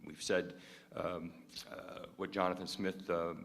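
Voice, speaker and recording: Very deep, flat-voiced university official. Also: male voice. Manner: halting, many fillers and restarts. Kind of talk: press conference remarks. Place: press conference room. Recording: long echoey hall reverb.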